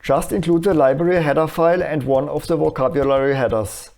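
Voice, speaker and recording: Higher-pitched man narrating a tutorial. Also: steady tone, a little fast, creaky, crowd noise. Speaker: electronics tutorial presenter. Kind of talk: scripted explanatory narration.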